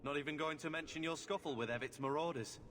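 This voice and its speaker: An actor playing a dry, knowing guard lieutenant in a video game, speaking in a wry, understated way. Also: male voice, a little high, quick pace, fairly bright tone, a little hiss or hum, lightly acted. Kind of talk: dramatic video game voice acting. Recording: long echo of a large hall.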